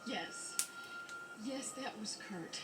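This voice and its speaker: A woman's voice: medium pitch, lively intonation, slow pace. A woman voicing an anxious, protective wife and mother in a cartoon, pleading as she speaks. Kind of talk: animated series voice acting. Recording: cartoon soundtrack with loud music, distant.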